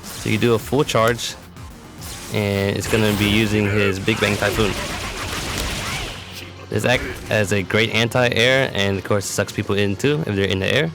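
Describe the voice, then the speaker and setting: A man's voice: lowish voice, steady tone, normal speed, pauses often. A man giving matter-of-fact, instructional commentary for a fighting game tutorial. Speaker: competitive gamer presenting a character demo. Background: music.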